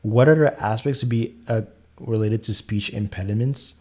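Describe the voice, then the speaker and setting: A man hosting a casual live astrology Q&A, answering viewer questions in a calm, soothing way and thinking aloud. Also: on the low side, normal speed.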